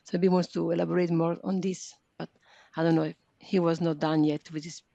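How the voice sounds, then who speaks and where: A woman's voice: lowish voice, little pitch movement, brisk, creaky, dark tone, no echo, muffled recording. A woman moderating an online workshop, prompting attendees tentatively to answer.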